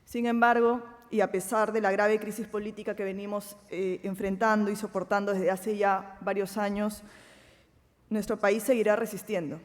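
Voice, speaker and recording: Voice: medium pitch, little pitch movement, a little fast. A panelist from Peru with a Peruvian Spanish accent, giving a formal conference speech in a solemn, emphatic way. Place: large conference hall. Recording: long hall reverb.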